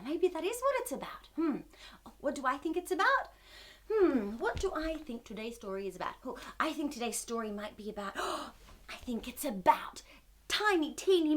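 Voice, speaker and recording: A woman hosting a children's storytime, talking with exaggerated wonder, frequent gasps and questions to her young listeners. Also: high voice. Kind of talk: children's read-aloud and singalong.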